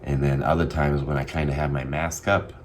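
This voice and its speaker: A man with an extremely deep voice and a Boston accent talks through his day in a casual video diary. He speaks haltingly and candidly, full of ums and trailing pauses. A faint hum of room ambience sits behind him. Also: flat delivery. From Massachusetts. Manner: halting, many ums, soft-spoken and candid. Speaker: vlogger.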